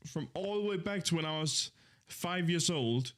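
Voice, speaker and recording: A man's voice: a little high, lively intonation, moderate pace. A young man in his twenties who streams and chats casually to his viewers, rambling in an easygoing way and often correcting himself. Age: 20s. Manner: rambling, easygoing, with many fillers and self-corrections. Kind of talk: casual live-stream chat.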